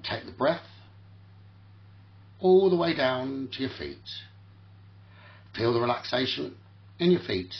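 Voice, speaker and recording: Slow man guiding sleep meditation, dull recording. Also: medium pitch, very animated. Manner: soothing, repetitive instruction in short phrases with long pauses. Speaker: guided meditation host. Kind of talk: guided sleep meditation, spoken relaxation talkdown.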